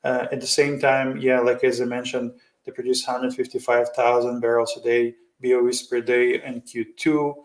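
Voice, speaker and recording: Guy doing loose finance commentary in a roomy echoey space, kinda rambly, pretty flat pitch. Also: moderate pace, few pauses. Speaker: host of an investing commentary show. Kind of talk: informal off-the-cuff financial commentary.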